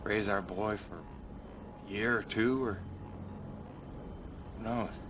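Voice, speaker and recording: An actor playing an easygoing working-class rig pilot with a folksy, wry way of talking. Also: male voice, lowish voice, fairly even intonation, slow pace, background noise. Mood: tender, wistful.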